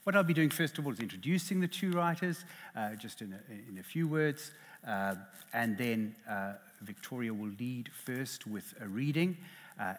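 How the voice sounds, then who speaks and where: A man's voice: lowish voice, breathy edge. A middle-aged South African man chairing a literary panel, self-deprecating and hesitant, with many restarts. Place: lecture hall. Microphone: headset. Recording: reverberant hall.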